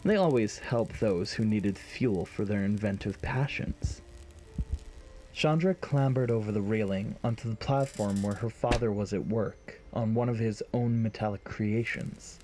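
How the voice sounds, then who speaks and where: A man's voice: fairly low pitch, unhurried, mellow, slight background noise. A man narrating a scripted fantasy story in an audio drama.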